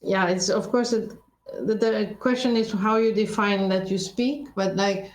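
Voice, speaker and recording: A woman's voice: mid-range voice, fairly even intonation, a little slow, reverberant. A woman whose first language is Norwegian, raised in Sweden, speaking thoughtfully as an interview guest with frequent restarts and self-corrections. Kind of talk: reflective conversational interview.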